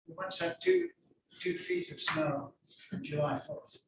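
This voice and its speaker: A man giving an academic seminar lecture in halting phrases, his voice slightly croaky. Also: high-pitched, wildly swooping pitch, background noise.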